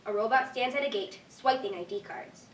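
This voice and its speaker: A woman's voice: a little high, moderate pace. A young woman reading stage directions aloud as scripted narration at a staged reading. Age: young adult. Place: echoey indoor room at a staged reading. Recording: echoey room, distant mic, faint noise.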